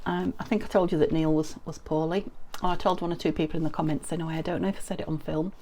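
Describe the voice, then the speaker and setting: Croaky middle-aged uk lady with a cold, low gravelly voice, chatting about crafts, ums everywhere, faint background hum. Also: steady tone, racing, mellow. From United Kingdom. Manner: rambling, friendly, many ums and restarts. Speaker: crafting vlogger. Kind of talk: casual chatty craft tutorial.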